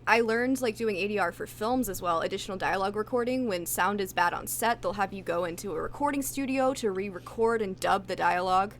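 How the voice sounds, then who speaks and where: A woman with a medium-pitched voice chats casually as a guest voice actress in an interview. She comes across as agreeable and supportive. Faint noise sits behind her voice. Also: brisk, hardly any pauses.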